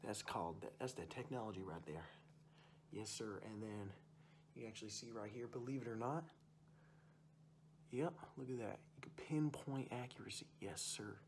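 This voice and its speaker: A man's voice: fairly low pitch, moderate pace, long pauses, a little hiss or hum, close to an everyday voice. A young man playing a boastful, folksy uncle who rambles about hunting and fishing in an ASMR roleplay. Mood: boastful, enthusiastic. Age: young adult. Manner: folksy, rambling, repeats stock phrases. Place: small room indoors.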